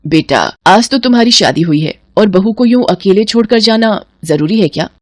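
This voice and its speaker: A woman playing a gentle middle-aged mother in an audio family drama, caring, earnest and worried. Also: mid-range voice, lively intonation, normal speed, dry recording, clean studio sound, natural voice. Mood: concerned.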